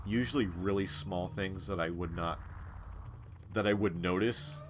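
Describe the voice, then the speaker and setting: A man streaming a game, chatting casually with a stuffy, congested nasal voice and a rambling, relaxed way of talking. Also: sits low, unhurried, over music. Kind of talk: casual chat while playing a game on a live stream.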